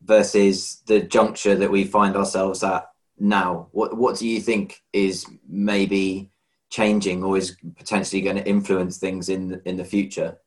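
A man's voice: low voice, flat delivery, a little slow. A man hosting a podcast, asking questions in a hesitant way and often repeating words as he searches for them. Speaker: podcast host. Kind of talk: conversational interview.

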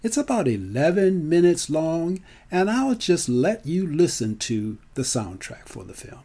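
Animated American man in his seventies. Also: a little high, a little slow, slightly rough. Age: 70s. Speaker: storyteller and speaker. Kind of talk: live storytelling presentation.

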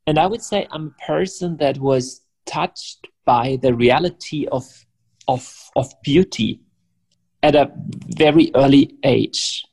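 A man's voice: a little high, takes it slowly, creaky, a little mellow, low-quality recording. A man in his forties from Germany, a native German speaker talking in English with a German accent, as a guest on a podcast. He speaks reflectively and stammers repeats when starting a thought. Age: 40s. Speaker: guest, prayer house leader. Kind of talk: podcast interview.

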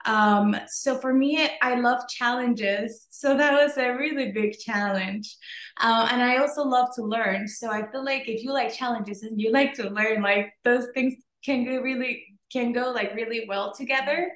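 A woman's voice: a little high, measured pace, fairly bright tone. A woman in her thirties from Venezuela with a Venezuelan accent, answering interview questions in a chatty way full of "like" and "um". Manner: chatty, many fillers like "like" and "um". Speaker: beauty entrepreneur guest. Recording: echoey room.

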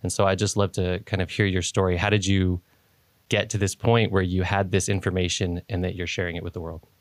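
Man, podcast host, low voice, dry close sound, halting delivery. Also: level tone, no echo. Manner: hesitant, many ums and restarts. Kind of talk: conversational interview.

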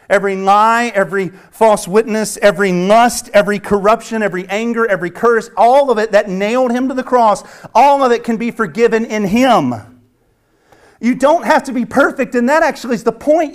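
A man from the American South preaching a sermon with a Southern accent, earnest and emphatic, repeating phrases and dropping at times to a hush. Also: very high voice. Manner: swings from hushed near-whisper to loud emphatic preaching. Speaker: pastor.

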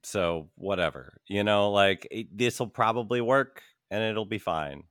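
A man's voice: fairly low pitch, measured pace, fairly bright tone, smooth, dry recording, studio quality. A man chatting casually on a podcast, with a sardonic, sweary manner. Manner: sardonic, profane. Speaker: podcast panelist. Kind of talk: casual chat.

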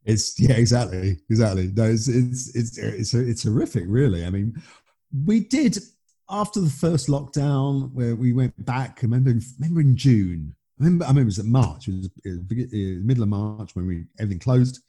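A man's voice: medium pitch, lively intonation, brisk, dark tone, slightly rough. A man, a comedian and actor, chatting casually in an interview, self-mocking and often restarting his sentences.

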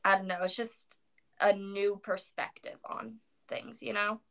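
Tired young woman with a creaky voice. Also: medium pitch, steady tone, unhurried, fairly bright tone. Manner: rambling, many fillers, self-corrections. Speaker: co-host discussing poetry. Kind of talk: casual chat.

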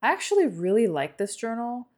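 A woman's voice: on the high side, big pitch swings, brisk, darkish tone. A woman podcast co-host whose first language is Korean, chatting casually and easily with many fillers. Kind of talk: casual chat.

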